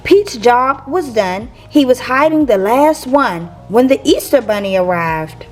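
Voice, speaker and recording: High, animated woman reading to children. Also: soft background music. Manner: expressive, measured phrasing for young listeners. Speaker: teacher reading picture books for a channel. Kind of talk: children's read-aloud storytelling.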